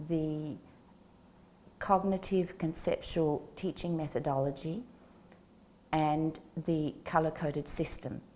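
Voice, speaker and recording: A woman in her sixties answers interview questions slowly and with care. Her voice sits a little low, and it is picked up on a lapel mic in a small studio with a faint hiss behind it. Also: mellow. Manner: slow, measured explaining. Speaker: guest, educator. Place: small studio set with plants.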